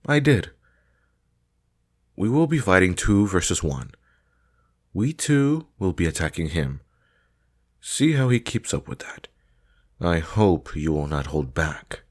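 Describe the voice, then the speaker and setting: A man's voice: low-pitched, very animated, slow, long pauses, exaggerated voice. A man playing a stern, demanding combat training master in a fantasy audio roleplay, speaking in a curt, formal, commanding way.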